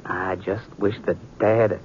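Earnest young western sheriff, muffled. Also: male voice, little pitch movement, takes it slowly, slight background noise. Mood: earnest, wistful. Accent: American. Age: young adult. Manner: plain-spoken, earnest. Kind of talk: 1950s radio western acting. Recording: muffled, rumbly 1950s radio recording.

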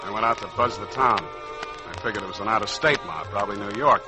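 A man playing a tough, wisecracking private detective in an old-time radio drama, with a terse, slangy, deadpan delivery. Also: fairly low pitch, a little fast, close to an everyday voice. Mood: wry. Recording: vintage radio recording with music behind.

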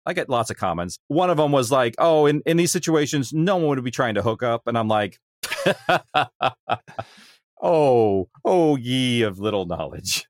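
A man's voice: medium pitch, big pitch swings, measured pace, no echo, clean studio sound. A middle-aged man speaking conversationally as an interview guest, explaining his creative work. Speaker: audio drama writer and producer, interview guest.

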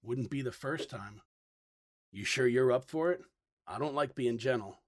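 A man playing a cocky, affectionate rebel pirate lover in an audio drama, gruff and blunt with a flirtatious edge. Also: medium pitch, a little slow, natural voice.

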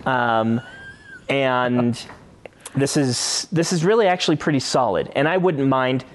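A man's voice: medium pitch, unhurried. A man co-hosting a podcast, giving casual critique in discussion. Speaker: podcast co-host and writer.

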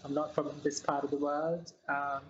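A woman's voice: low voice, flat delivery, normal speed, lo-fi sound, a little hiss or hum. A woman from New Zealand, a guest scientist, explaining her work in a casual interview with many hesitant fillers.

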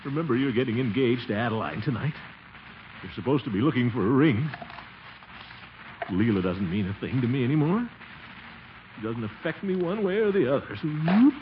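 An actor playing a flustered, sentimental middle-aged American bachelor in a theatrical 1940s radio comedy style. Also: male voice, medium pitch, expressive, a little fast, long pauses, background noise, lightly acted. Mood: flustered, indignant. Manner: theatrical, sighing, exclaiming. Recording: muffled 1940s radio recording with music.

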